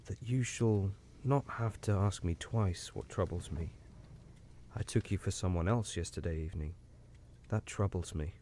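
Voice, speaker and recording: A grave, uneasy railway signalman from a storybook, put on by a male reader. He talks low and hushed, almost at a whisper, in a deep, dark, mellow voice. He keeps his words measured and careful. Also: a little hiss or hum. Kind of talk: audiobook character reading.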